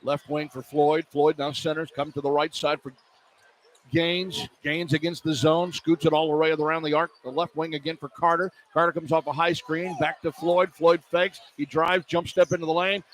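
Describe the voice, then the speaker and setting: A man doing live basketball play-by-play on the radio as the announcer, animated and quick to exclaim at the action. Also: fairly high pitch. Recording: poor radio feed, clear background noise.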